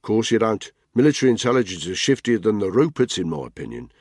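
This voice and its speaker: A man's voice: on the low side, animated, fast talker, darkish tone, no room sound, clean studio sound, pitched above the performer's own voice. A man voicing a brash, needling middle-aged soldier who mocks his mates. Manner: mocking, provoking.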